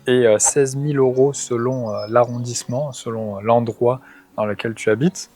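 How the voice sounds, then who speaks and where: A man in his thirties from England, a guest in a casual discussion, talking hesitantly with many pauses and fillers. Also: mid-range voice, steady tone, dark tone, faint music behind. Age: 30s. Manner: hesitant, frequent "euh" fillers.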